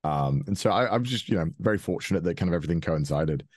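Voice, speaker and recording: A man's voice: low-pitched, expressive, fast talker, darkish tone, dry recording, studio quality. A young man from Wales with a Welsh accent, talking casually as an interview guest, rambling with restarts and light chuckles. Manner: rambling, frequent restarts and fillers, chuckles often.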